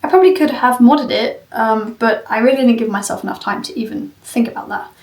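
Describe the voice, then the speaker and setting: A woman's voice: fairly high pitch, a little fast, darkish tone. A woman giving an informal walkthrough of something she built, rambling in a candid, self-deprecating way. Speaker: maker presenting a cosplay prop she built. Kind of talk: informal video walkthrough and review of a build. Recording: roomy echo, far from the mic.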